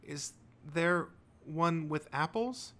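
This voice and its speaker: Guy doing a timid sweet little girl for a story, high soft voice. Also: big pitch swings, unhurried, husky, full character voice.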